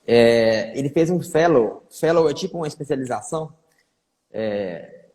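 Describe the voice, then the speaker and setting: Doctor hosting a chatty live stream. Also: male voice, medium pitch, a little mellow. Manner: chatty, repeats fillers like "né". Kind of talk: casual live-stream interview. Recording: echoey room with long reverb.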